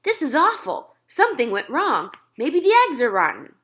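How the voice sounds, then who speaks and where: A woman's voice: high voice, wildly swooping pitch, normal speed, a bit bright, slightly rough, voice pushed up, heavily acted. A woman voicing a cheerful, boastful middle-aged father in a children's storybook read-aloud. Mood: dismayed. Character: cheerful, overconfident middle-aged father, proud then flustered.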